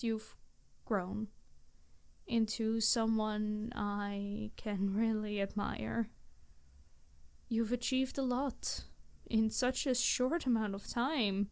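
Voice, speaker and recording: A woman's voice: fairly high pitch, darkish tone, clean tone. A woman voicing an embarrassed, centuries-old vampire in an ASMR roleplay, speaking formally and hesitantly, earnest and apologetic to the listener.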